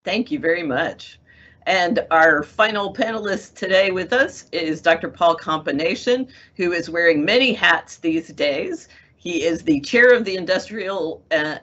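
Middle-aged woman, panel moderator, bright tone, muffled call audio. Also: fairly low pitch, animated, normal speed. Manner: friendly, organized introductions. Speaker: moderator, assistant dean. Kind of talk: hosting a video-call panel. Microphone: video call. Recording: dull lo-fi video-call audio.

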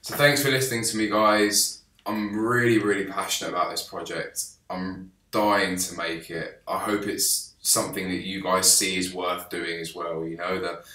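Deep-voiced young Englishman pitching his film. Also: fairly even intonation, moderate pace, hardly any pauses, bright, forceful tone, recorded from a distance. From England. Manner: enthusiastic, gestures with his hands, many restarts. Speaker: film director pitching a crowdfunding campaign. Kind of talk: earnest to-camera pitch. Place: small office, indoors. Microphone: camera a few feet away. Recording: roomy office sound with background music.

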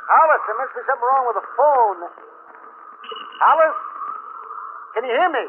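A man's voice: very high voice, lively intonation, normal speed. An actor playing a resigned, good-natured married spaceman who faces death, in a 1950s radio drama. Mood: anxious. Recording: noisy, tinny 1950s radio recording.

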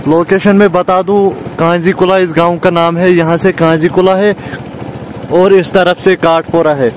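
A young man talks casually to his vlog audience. He is chatty and often repeats phrases for emphasis. The phone recording, made at arm's length outdoors, has clear background noise. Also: high voice, flat delivery, moderate pace. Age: 20s. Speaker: vlogger. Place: outdoors on village roads, paths and by water. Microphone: handheld phone at arm's length.

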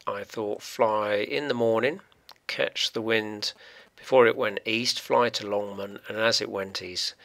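A man from England, a paragliding instructor, giving a voice-over commentary on flight footage in an explanatory, brisk way, his voice trailing off at the ends of phrases. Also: lowish voice, fairly even intonation, gravelly, a bit bright.

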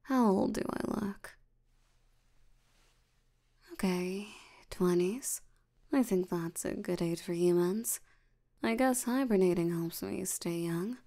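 A woman playing a lazy, sleepy, ancient demon in an ASMR roleplay, drowsy and unbothered, with a soft tired voice. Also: on the low side. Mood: drowsy, nonchalant. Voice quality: soft, breathy, creaky tired voice. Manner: drowsy, slow, drawling, unbothered. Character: sleepy, lazy female sloth demon, ancient but youthful.

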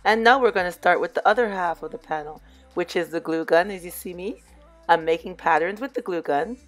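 A hoarse, somewhat low-pitched woman talks viewers through a crafting project in a relaxed, chatty way. Her voice sounds a little under the weather. Soft music plays faintly underneath. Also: fairly quick. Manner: relaxed, chatty, addresses viewers as "you guys". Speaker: DIY crafts vlogger. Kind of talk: casual crafting tutorial voice-over.

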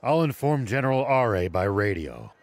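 Deep, stern older sheriff. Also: male voice, sing-song, moderate pace. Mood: grave, measured. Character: stern older male sheriff, cautious, composed. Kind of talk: video game cutscene acting.

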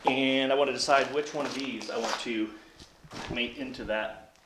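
A man in his thirties talks through a woodworking job step by step, in an ordinary mid-range voice. His voice bounces around the hard walls of a cluttered home workshop. Tool noise and clatter sit clearly behind him, and he is picked up by a camera several metres off. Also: medium pitch, steady tone, moderate pace, reverberant. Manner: explains steps plainly while working. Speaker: woodworking channel host. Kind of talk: hands-on workshop tutorial. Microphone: camera on a tripod a few metres away. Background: power tool and workshop noise.

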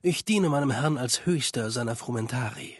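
Video game spymaster: cold, measured, superior, mid-pitched with a husky edge, dry close sound. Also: male voice, lively intonation, no echo. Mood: cool, assured. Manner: formal, measured, condescending. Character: cold, calculating male spymaster of a slaver legion. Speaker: game character voice actor. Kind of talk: dubbed video game dialogue.

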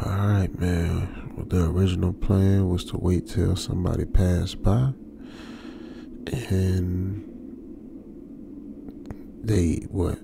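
A man playing a jittery stranded young trucker in a radio drama, muttering to himself in halting, slangy speech. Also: deep voice, little pitch movement, vocal fry, background noise, full character voice. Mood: weary, resigned. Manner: halting, muttering, colloquial slangy self-talk. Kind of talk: ASMR old-school radio drama monologue.

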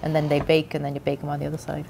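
A woman's voice: deep voice, completely flat delivery, very fast, gravelly, warm tone. A woman in her sixties casually explaining recipes as host of a cooking show in a large kitchen. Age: 60s. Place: large indoor demonstration kitchen. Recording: faint background noise.

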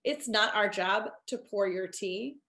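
A woman's voice: mid-range voice, slow pace, sharp tone. A woman voicing a proud, defiant queen in a children's storybook, firm and scolding in a declamatory way. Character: proud, defiant adult queen who loves comfort. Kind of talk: children's storybook character reading.

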